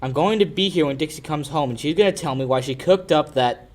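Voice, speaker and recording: A man's voice: on the high side, brisk, noisy background, natural voice. A young man acting a brash, stubborn lawyer in a 1940s-style radio drama. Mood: brash, insistent. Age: young adult. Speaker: high school actor.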